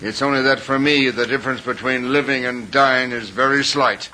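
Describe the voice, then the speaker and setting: Sharp, bitter island husband played by a man. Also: mid-range voice, fairly even intonation, normal speed, natural voice. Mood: bitter, sardonic. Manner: sardonic, theatrical, ornate phrasing. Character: bitter, world-weary island husband guarding hidden gold. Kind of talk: old-time radio drama acting. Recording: old radio recording with faint hiss.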